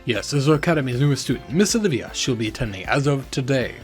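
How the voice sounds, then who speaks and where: A man acts as a courteous, formal academy director in a game. He speaks briskly with lively intonation over faint music. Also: mid-range voice, close to an everyday voice. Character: polite, formal adult male academy director. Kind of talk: scripted game dialogue.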